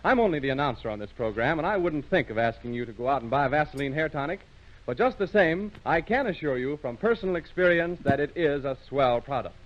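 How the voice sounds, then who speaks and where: A man's voice: fairly high pitch, animated, fast talker. A man announcing in a formal, polished 1930s radio advertising style, on a muffled old recording.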